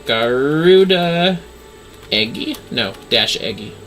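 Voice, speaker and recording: A man's voice: fairly high pitch, expressive, slow, a bit bright, faint music behind. A man doing casual livestream game commentary, talking to himself as he plays and reading game text aloud. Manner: talks to self while playing. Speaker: livestream gamer, VTuber.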